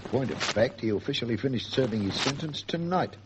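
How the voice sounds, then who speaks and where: A man playing an older, avuncular British police sergeant in a vintage radio drama, with a gruff, colloquial storytelling manner. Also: mid-range voice, lively intonation, fairly quick, close to an everyday voice. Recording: noisy old radio recording.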